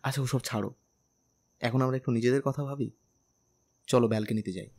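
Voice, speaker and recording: A man's voice: mid-range voice, steady tone, brisk, vocal fry, darkish tone. A man playing a tender, teasing young bridegroom in a romantic audio drama, speaking earnestly and persuasively. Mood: teasing, affectionate. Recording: music bed under the voice.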